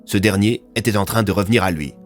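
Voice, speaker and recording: A man's voice: fairly low pitch, fast, faint music behind. A man narrating a serialised audio fiction in a scripted, dramatic storytelling style.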